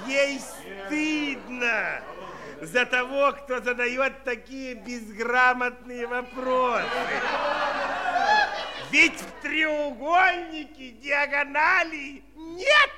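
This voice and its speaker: A man's voice: very high voice, drawn-out words, a loud crowd, heavily acted. A man playing a fussy, pompous, indignant circus trainer in a children's audio play, with theatrical delivery. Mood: pompous, correcting. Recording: reverberant circus hall, audience noise behind.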